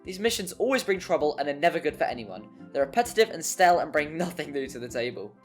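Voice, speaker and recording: A narrator delivers a scripted game review in a mid-pitched voice. The delivery is wry and prone to exaggeration, with some mild swearing, and music plays behind. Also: big pitch swings, fast talker. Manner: wry, mildly sweary, hyperbolic. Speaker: video essay narrator. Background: background music.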